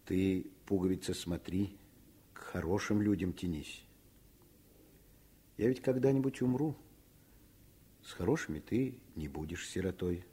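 Husky, deep, principled doctor father. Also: a little slow, pauses often, mellow. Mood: tender earnest. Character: principled doctor father, fond of daughter, indignant at injustice. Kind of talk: 1950s Soviet radio play acting.

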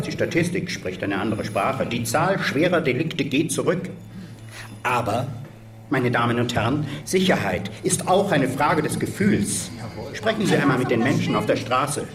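An actor playing a grave populist politician in a radio drama, giving a measured formal statement. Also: male voice, mid-range voice, natural voice. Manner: measured, solemn, rhetorical. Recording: roomy, distant mic, music behind.

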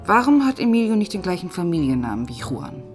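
An actress playing a pensive, dogged police inspector in a German radio crime drama, reflective and often hushed. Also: female voice, on the low side, very animated, fast talker, music in the background. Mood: probing. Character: pensive, dogged female police inspector, narrating her investigation.

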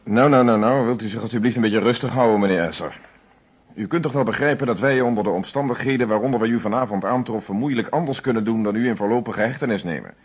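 An actor playing a methodical police inspector in a radio drama, questioning formally and with authority in a husky voice, on an old recording. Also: male voice, on the low side, quick pace, muffled recording. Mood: stern, official.

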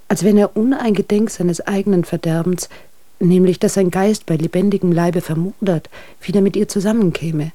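A woman's voice: lowish voice, warm tone, dry recording, lightly acted. An actress playing a fierce, alarmed woman who reads her urgent, eloquent letter in a radio drama.

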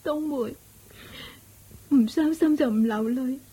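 An actress playing a mistreated, despairing young wife in a radio drama, talking in a weepy, pleading way. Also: female voice, sits high, slow, dark tone, breathy edge, exaggerated voice. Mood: distraught. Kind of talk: Cantonese radio drama acting.

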